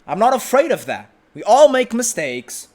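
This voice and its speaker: Brash, self-assured man with lively pitch. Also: normal speed. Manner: brash, self-assured, rambling with restarts and swearing. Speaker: vlog host. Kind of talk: unedited casual monologue. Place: sitting on a sofa at home.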